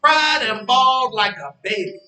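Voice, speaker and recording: An actor in a stage play as a teasing, boastful ladies' man who spins his tale with drawn-out drama and stammering hesitations. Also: extremely high pitch, animated, sharp tone, heavily acted. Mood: gleeful storytelling. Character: teasing, evasive storyteller named Henry, boastful ladies' man. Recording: roomy, distant stage recording with music.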